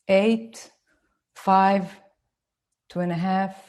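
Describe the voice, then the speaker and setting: A woman in her forties from Israel with an Israeli accent, speaking slowly and carefully as she gives a live online talk to parents. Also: mid-range voice, steady tone, darkish tone. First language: Hebrew. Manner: slow, deliberate, and careful for her listeners. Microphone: phone.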